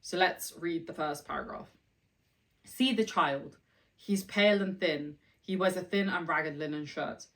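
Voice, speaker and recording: Low-pitched woman chatting about books, reading bits out loud, mic a bit far away. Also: measured pace. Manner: chatty, reads passages aloud with enthusiasm. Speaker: book vlogger. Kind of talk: casual chat with read-aloud passages.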